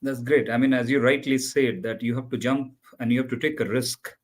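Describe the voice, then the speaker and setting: A man's voice: medium pitch, steady tone, brisk. Male host, interviewer, slightly off-mic. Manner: affirming, asks follow-up questions. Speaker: talk show host. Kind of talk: interviewing a guest.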